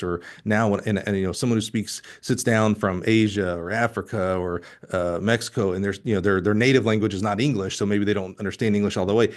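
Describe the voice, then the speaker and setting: A middle-aged man from Alabama hosting a casual interview, talking in an effusive, halting way and often restarting his thoughts. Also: fairly low pitch. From Alabama, United States. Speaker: podcast host.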